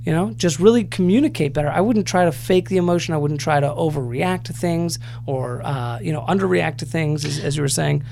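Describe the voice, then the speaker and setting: Man, podcast co-host, conversational, easygoing, teasing, gravelly fry, faint background hum. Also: a little high, a little fast, few pauses, darkish tone. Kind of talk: casual chat, advice podcast.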